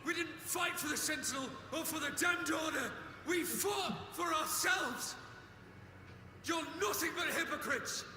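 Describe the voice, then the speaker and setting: An outraged veteran knight in a fantasy video game. He rants bitterly at the top of a high, strained voice that rings through a large hall over music. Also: a little slow, heavily acted. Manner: ranting, shouting, accusatory. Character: furious, bitter male war-veteran knight denouncing hypocrites. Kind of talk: dramatic video game voice acting. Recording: echoey hall reverb, music underneath.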